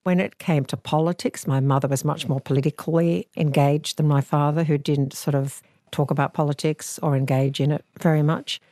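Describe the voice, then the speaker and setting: A woman in her sixties with an Australian accent, an actor recalling her family history in a measured, reflective way. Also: low voice, fairly even intonation, no echo, clean studio sound. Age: 60s. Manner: thoughtful, measured, gentle. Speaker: actor and author guest. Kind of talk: reflective interview storytelling. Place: living room, seated on a sofa.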